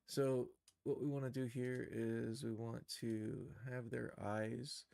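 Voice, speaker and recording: Man, creaky mid-pitched voice, casual tutorial streamer, musing, thinking aloud, informal. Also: level tone, slow pace, a little mellow, no room sound. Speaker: streamer teaching animation software.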